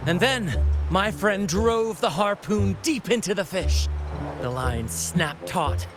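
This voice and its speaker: Flamboyant, slow-paced pirate showman. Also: high-pitched, lively intonation, exaggerated voice. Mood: dramatic storytelling. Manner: grandiose, dramatic stage delivery. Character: theatrical young male pirate captain and showman, flamboyant storyteller. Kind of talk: anime game voice acting. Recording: loud music behind.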